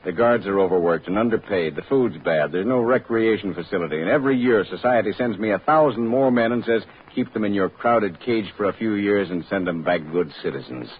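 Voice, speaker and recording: A man plays a deep-voiced prison warden from a radio play, weary and embittered. He talks bluntly, in clipped statements. The old recording is dulled, with a light hiss. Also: expressive, fairly quick, hardly any pauses, lo-fi sound, lightly acted. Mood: bitter, exasperated. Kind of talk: old-time radio drama acting.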